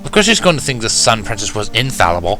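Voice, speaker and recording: A man's voice: fairly low pitch, big pitch swings, fast, music in the background. A man reading a fan-fiction story aloud as scripted first-person narration.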